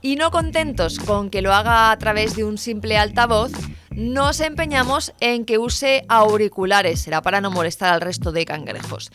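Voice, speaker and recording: A woman's voice: medium pitch, moderate pace. A woman narrating a light scripted list video in a playful, wry, questioning manner. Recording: music behind the voice. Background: music.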